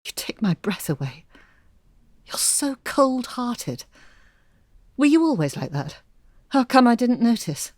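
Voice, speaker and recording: A woman's voice: a little high, big pitch swings, unhurried, pauses often, dry recording, studio quality. An actress playing a weary, wounded mother in an audio drama, speaking in a reflective, plaintive and articulate way. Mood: hurt. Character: weary, wounded married mother, wistful and earnest. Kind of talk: audio drama acting, confessional monologue.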